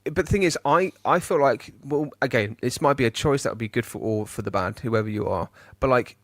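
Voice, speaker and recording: A man co-hosting a podcast in casual chat, animated and rising high when he argues. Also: brisk, dry recording, studio quality. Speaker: podcast co-host.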